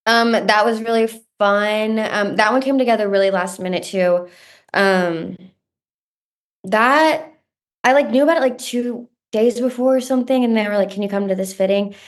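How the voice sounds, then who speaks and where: A woman's voice: fairly high pitch, moderate pace. Rambling woman of thirty on a video call. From Texas. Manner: rambling, self-deprecating storytelling with many fillers. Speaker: guest. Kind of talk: casual podcast chat. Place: room at home.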